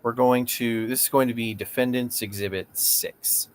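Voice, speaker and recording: A man's voice: medium pitch, steady tone, normal speed, slight background noise, natural voice. A man playing a persistent, formal lawyer questioning an evasive witness in a read-aloud deposition. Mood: procedural.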